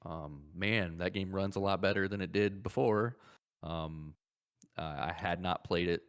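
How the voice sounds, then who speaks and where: A man's voice: sits low, measured pace, clean tone. A man hosting a podcast, chatting casually about video games and often circling back to repeat his phrases. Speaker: podcast host.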